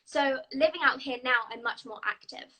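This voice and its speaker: A woman's voice: high voice, bright, forceful tone, low-quality recording. A young British woman, an actress, answering interview questions in a chatty, upbeat way. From United Kingdom. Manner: chatty, upbeat, quick to laugh.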